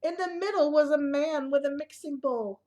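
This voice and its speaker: A woman reading a picture book aloud to young children in an expressive way, with asides and questions to the listeners. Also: sits high, moderate pace.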